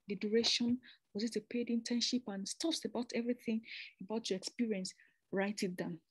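Young woman, from Nigeria, fairly high voice, chatty presentation, frequent restarts, dry close recording. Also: a little mellow, breathy edge, no room sound. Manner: chatty, repeats and restarts phrases, conversational asides. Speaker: presenter explaining a master's program. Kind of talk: informal slide presentation.

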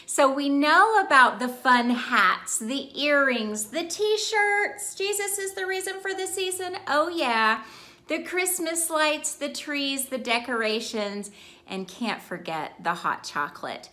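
A bright-voiced woman reads a storybook aloud for children in a roomy indoor space. She takes her time, speaking slowly and with exaggerated expression, as if talking straight to young listeners. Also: sits high, lively intonation. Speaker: children's ministry storyteller and host. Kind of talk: animated picture-book read-aloud for children.

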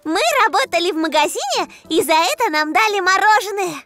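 A very high, bubbly little girl. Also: animated, brisk, loud music behind the voice. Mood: cheerful. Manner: bubbly, childlike. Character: cheerful, eager five-year-old little girl. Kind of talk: dubbed children's cartoon acting.

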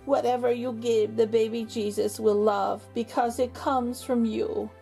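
A woman's voice: a little high, level tone, measured pace, vocal fry, mellow, soft background music. A woman voicing a kindly, wise old woman in a children's storybook, soothing and reassuring. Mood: gentle. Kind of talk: children's storybook read-aloud.

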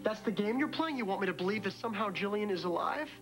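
A man's voice: high voice, animated, fast, music in the background. A man in his thirties acting a grieving husband in a daytime soap, tense and disbelieving, on a studio set.

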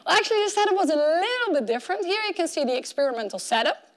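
A high, animated female voice from the front of a tech conference room, walking the audience through studies in a conversational way. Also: racing. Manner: animated, conversational, acts out imagined objections. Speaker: conference keynote speaker. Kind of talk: conference talk explaining research. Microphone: clip-on mic at the collar.

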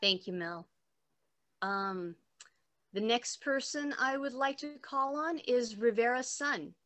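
A woman moderating an online panel, introducing speakers with brisk, friendly remarks over a video call. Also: on the high side, fairly bright tone.

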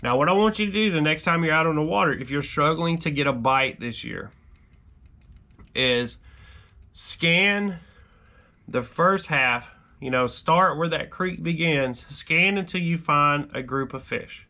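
A man's voice: a little high, measured pace, sharp tone. A man in his thirties, a fishing channel host, explaining to camera in a chatty, easygoing way full of "you know" fillers, from a workshop at desk distance. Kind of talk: casual instructional talk to camera. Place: cluttered garage workshop, indoors.

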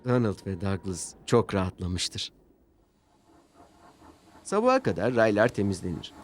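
A man's voice: lowish voice, big pitch swings, unhurried. A man narrating a scripted children's story as the storyteller. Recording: music bed behind narration. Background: music.

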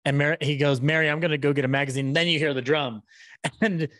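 Halting man chatting, dry sound. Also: on the high side, fairly even intonation, a bit bright, dry recording. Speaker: podcaster, interviewer. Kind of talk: casual chat. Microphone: podcast mic on a boom arm with headphones.

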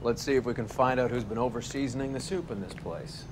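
A man's voice: mid-range voice, fast talker, lightly acted. Man playing a cocky, needling cop, noisy backdrop. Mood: teasing, sardonic. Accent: American. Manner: sardonic, needling. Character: cocky, mocking, insolent male police detective. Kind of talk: video game dialogue.

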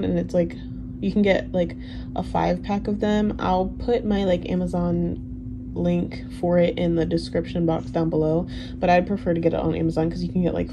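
Young woman, first language Haitian Creole, lowish voice, relaxed rambling chat, ums and likes, noisy recording. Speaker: planner vlogger. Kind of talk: casual Q&A chat over a plan-with-me. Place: indoors at a desk. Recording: audible background noise.

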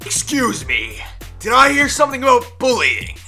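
A man's voice: very high-pitched, wildly swooping pitch, normal speed, gravelly, pushed and bright, full character voice. A man playing a stern, authoritative teenage school monitor in an anime fan dub, formal and menacing. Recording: faint background music under dialogue.

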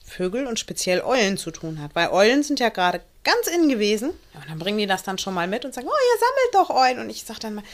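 A woman's voice: mid-range voice, sing-song, quick pace, hardly any pauses. A woman falconer telling a casual anecdote.

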